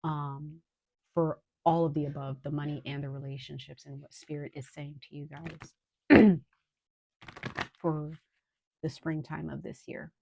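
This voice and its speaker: A woman with a low, slightly hoarse voice, under the weather with a cold, reads tarot for her viewers. She talks in an unhurried, reassuring way and fills pauses with ums. The sound is dry and close, with no room echo. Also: level tone, darkish tone. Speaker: tarot reader. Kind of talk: casual tarot reading to viewers.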